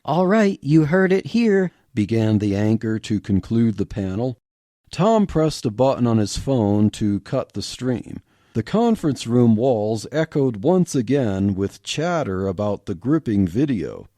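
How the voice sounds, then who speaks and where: A man's voice: lowish voice, lively intonation, measured pace, dark tone, dry recording. A man reading a story aloud on his podcast, narrating it expressively.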